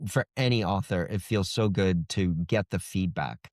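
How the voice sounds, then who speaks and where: A man's voice: sits low, moderate pace, a little mellow, no echo, studio quality. A man speaking as an author guest in a casual interview, earnest and reflective. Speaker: guest, indie fantasy author.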